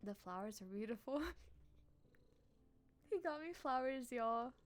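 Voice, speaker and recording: A woman streamer, playful and expressive, reading a visual novel aloud and chatting on a live stream. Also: a little high, unhurried. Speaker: game streamer. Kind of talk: live stream chat and read-aloud narration.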